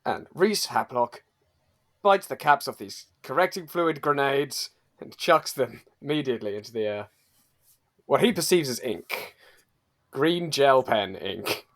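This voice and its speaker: A man's voice: medium pitch, animated, a little slow, fairly bright tone. A man improvising in a tabletop role-playing game, hesitant and mock-earnest. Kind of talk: improvised role-play in character as an actor.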